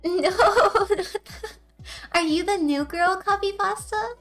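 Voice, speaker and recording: A young woman with a high, soft, quiet voice chats casually with her viewers. She rambles and giggles, drifting between stories. Faint music plays quietly behind her. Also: slow. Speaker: streamer.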